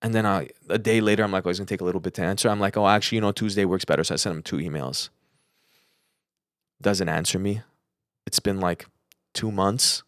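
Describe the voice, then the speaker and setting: Low-voiced young American man, dry close sound. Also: fast talker, long pauses, clean tone, no room sound. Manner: animated retelling with acted-out quotes. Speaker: podcast co-host. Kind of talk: casual anecdotal storytelling.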